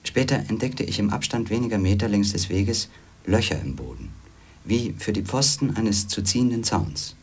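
Brooding literary narrator, very deep voice, husky edge, measured, introspective, radio drama. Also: male voice, animated. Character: Loose, a brooding male former editor recounting lost wanderings. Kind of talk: literary first-person radio play narration.

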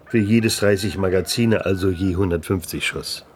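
A guarded village headman with a lowish voice, played by a man in a radio play. He speaks deliberately and plainly, with faint ambience behind him. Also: slight background noise, lightly acted. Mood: matter-of-fact. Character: guarded village headman confiding a dangerous secret. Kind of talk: radio drama acting.

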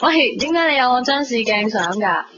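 A woman's voice: on the high side, lively intonation, moderate pace, background noise, lightly acted. A woman with a Hong Kong accent playing a sweet, playful young woman in love in a radio drama, light and conversational. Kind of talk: 1990s radio drama acting. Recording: muffled radio broadcast with music underneath.

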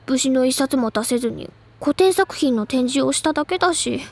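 An excitable teenage bookworm upperclassman with a high, girlish voice. She declaims and lectures theatrically, sure of herself, in an anime-style audio drama. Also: normal speed. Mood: pouting, defensive. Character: excitable, theatrical high-school literature-club girl, self-assured upperclassman.

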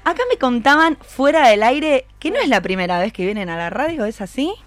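Una mujer con acento argentino de Buenos Aires que conduce un programa de radio en vivo de forma informal, charlando y bromeando. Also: female voice, sits high, very animated, brisk. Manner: informal, chatty, joking. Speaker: radio host. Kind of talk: casual live radio hosting. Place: radio studio. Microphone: studio microphone.

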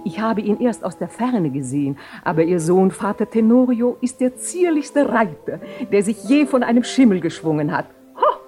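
Actress as mellow, coquettish noblewoman. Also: medium pitch, big pitch swings, normal speed, soft background music, lightly acted. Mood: excited. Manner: theatrical, coquettish. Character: flirtatious, excitable married noblewoman, mother of the bride. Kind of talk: 1970 German radio play acting.

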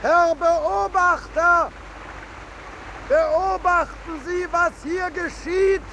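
An actor playing a polite painter calling out in drawn-out shouts in a 1950s German radio drama. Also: male voice, extremely high pitch, steady tone, gravelly, exaggerated voice. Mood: urgent, polite. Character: male painter being dragged off, shouting politely across a river. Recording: noisy old radio recording, water sounds. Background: splashing river water.

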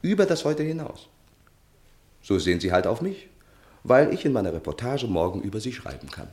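An actor in a German radio play, playing a lovestruck, tipsy journalist, an earnest romantic who declaims ardently and haltingly. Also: male voice, lowish voice, big pitch swings, a little mellow. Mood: wry, boastful.

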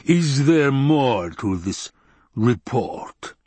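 A man acts out a scheming, tyrannical baron in a dramatised audiobook. The voice rumbles, husky and drawn-out, with imperious contempt in a close, dry recording. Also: very animated, warm tone, no echo, full character voice. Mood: cold questioning. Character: menacing, scheming, obese male baron and tyrant.

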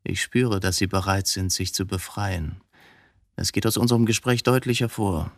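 An actor in a radio drama playing a coolly provocative, philosophical man who has confessed to killing his wife, speaking deliberately in probing rhetorical questions. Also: low-pitched, steady tone, dry recording, studio quality. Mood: calm, insinuating.

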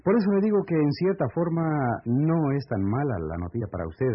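An actor with a Mexican accent playing an earnest, courteous man in a radio serial, speaking formally and deliberately. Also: on the high side, sing-song, husky. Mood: grave, explanatory. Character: earnest, courteous man patiently breaking grave news. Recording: muffled, lo-fi old AM radio recording.

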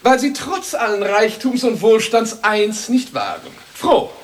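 An actor playing a placid academician who spouts banal truths and drily needles, in an absurdist radio comedy. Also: male voice, high voice, sing-song, a little slow. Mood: philosophising. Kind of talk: absurdist comic radio play acting.

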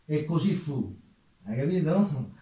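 A man's voice: a little high, very animated, takes it slowly, dark tone. A man with a Roman Italian accent tells history theatrically to an audience, with colloquial, ironic asides. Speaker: stage narrator, solo theatre performer. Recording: very echoey room, distant microphone.

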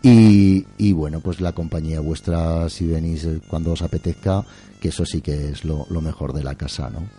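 A man's voice: extremely low pitch, steady tone, moderate pace, mellow. A middle-aged man talks as an interview guest in an effusive, colloquial, rambling way. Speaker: interview guest, museum keeper and musician. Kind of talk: casual interview chat.

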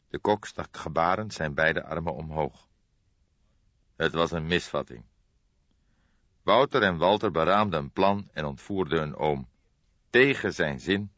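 Low-voiced man narrating a crime story. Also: sharp tone, no room sound, muffled recording. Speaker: audiobook narrator.

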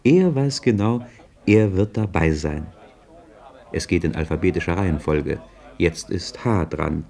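A man's voice: sits low, a little slow, vocal fry, a little mellow. An actor playing a knowing male narrator in a radio play, conversational and wry as he follows his hero. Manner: conversational, wry, present-tense commentary. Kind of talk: scripted radio drama narration.

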